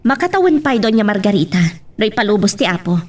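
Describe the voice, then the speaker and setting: A woman's voice: medium pitch, sing-song, a little fast. An actress playing a humble, forgiving working mother who speaks respectfully in a serial drama. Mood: questioning, pained. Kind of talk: radio-style serial drama acting. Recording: faint background noise.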